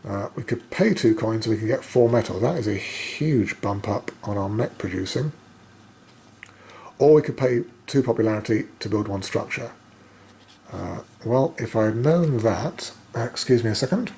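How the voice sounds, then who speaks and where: A man with a fairly low, croaky voice commentates casually on his own solo board game. He reasons out loud and pauses hesitantly as he goes. There is some faint noise behind his voice. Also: lively intonation. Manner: thinking aloud, hesitant pauses. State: croaky voice, coughing now and then. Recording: noticeable background noise.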